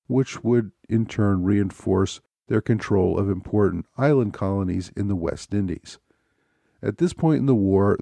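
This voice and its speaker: Low, mellow-voiced male podcast host. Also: gravelly, no room sound. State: slightly scratchy from a cold. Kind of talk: solo podcast talk.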